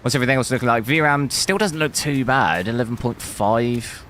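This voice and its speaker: A man streaming a video game live, talking casually through the settings, with a hoarse voice he says he is losing. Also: mid-range voice, a little fast. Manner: thinks aloud casually, bursts into loud dismayed cries. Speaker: gaming streamer. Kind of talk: live gameplay commentary. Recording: noticeable background noise.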